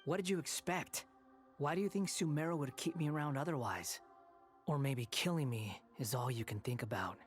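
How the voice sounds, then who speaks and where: A man's voice: mid-range voice, normal speed, lightly acted. Man voicing sneering, arrogant young villain. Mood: taunting. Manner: sneering, sardonic. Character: arrogant, bitter young male villain, sardonic and taunting. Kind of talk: English video game voice acting. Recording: game music behind the voice.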